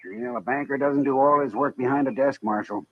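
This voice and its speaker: A man's voice: medium pitch, fairly quick, natural voice. An American man acting a genial, respectable town banker in a radio Western, polite and genteel. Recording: tinny, hissy old radio recording.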